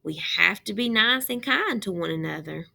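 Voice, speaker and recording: A woman reading a picture book aloud as a teacher, pausing to explain and ask young children questions. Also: mid-range voice, lively intonation, vocal fry, bright, forceful tone.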